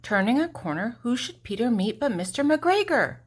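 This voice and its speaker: An older woman narrating a children's storybook expressively, with deliberate dramatic pauses and emphasis. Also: on the high side, very animated, slightly rough.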